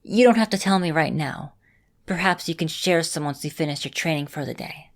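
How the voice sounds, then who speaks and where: Low-pitched vampire mistress, acted by a woman. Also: fairly quick. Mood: calm, instructive. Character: female vampire mistress, caring, composed mentor, easily flustered. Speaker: voice actor in a roleplay audio. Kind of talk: ASMR roleplay, speaking to the listener.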